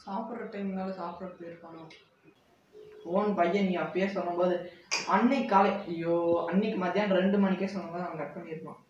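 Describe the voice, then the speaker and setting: A man's voice: high-pitched, steady tone, fast talker. A man hosting a vlog, chatting casually to viewers. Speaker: vlog host. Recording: roomy, distant, dull, clearly noisy home recording.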